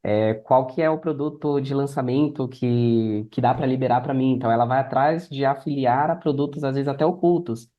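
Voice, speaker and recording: A man's voice: mid-range voice, steady tone, normal speed, hardly any pauses, highs cut off. A young Brazilian man speaking as a podcast guest, explaining and telling anecdotes in a conversational way. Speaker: podcast guest, affiliate marketer. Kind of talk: conversational interview answers.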